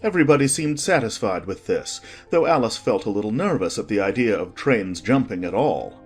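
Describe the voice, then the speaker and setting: A male narrator reads a scripted storybook with very animated, swooping intonation. Light music plays behind him. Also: fairly low pitch, brisk.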